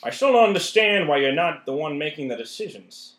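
A man plays an earnest young entertainer, easily indignant, in a radio drama. The voice is slightly high and animated, in an echoey room. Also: fairly quick. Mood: pleading. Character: earnest, indignant young male stage performer. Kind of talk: old-time radio play acting. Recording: roomy, echoing recording.

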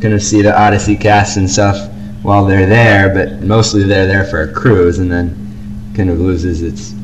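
A man's voice: low voice, flat delivery, moderate pace, noisy background. A young man chatting casually as co-host of a fan podcast, on an amateur home recording.

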